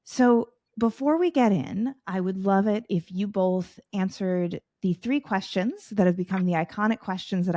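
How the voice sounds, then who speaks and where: A woman's voice: fairly low pitch, mellow, dry recording, studio quality. A woman hosting a podcast interview, welcoming and articulate as she introduces her guests. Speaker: podcast host.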